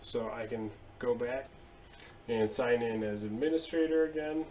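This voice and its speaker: A man in his thirties at his desk, casually explaining software settings step by step with a few fillers. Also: medium pitch, a little slow, slight background noise. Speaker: IT tutorial presenter. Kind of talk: screen-share software walkthrough. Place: indoor room at a desk.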